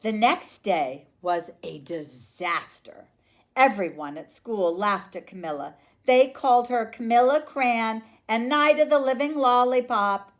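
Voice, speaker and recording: A woman reads a children's picture book aloud like a teacher. Her delivery is lively, with big swings in pitch and dramatic emphasis. She goes slowly and deliberately so young listeners can follow. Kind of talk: expressive storybook read-aloud for young children.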